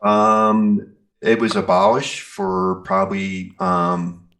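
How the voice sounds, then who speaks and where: Very deep, gravelly middle-aged American man. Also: little pitch movement, takes it slowly, a bit bright. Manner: rambling, searching for words. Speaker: musician being interviewed. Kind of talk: casual interview chat.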